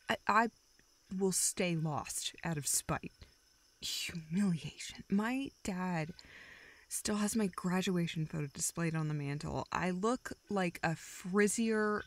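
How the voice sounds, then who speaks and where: A woman acting a self-deprecating, dryly sarcastic young woman confiding haltingly in a close friend, in an intimate, naturalistic audio roleplay. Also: medium pitch. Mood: dry, wry. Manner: hesitant, halting, deadpan joking.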